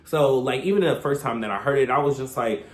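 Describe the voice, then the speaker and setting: A man with a stuffy, congested voice from a cold, giving a chatty, opinionated recap commentary with sudden high exclamations. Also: fairly quick, reverberant, slight background noise. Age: adult, past his thirties. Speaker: reality TV recap vlogger.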